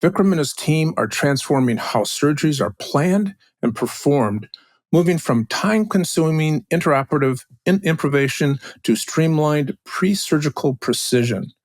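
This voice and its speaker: A man's voice: a little high, expressive, moderate pace, no echo. A male podcast host introducing a guest and asking friendly, upbeat questions with fillers. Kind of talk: podcast interview.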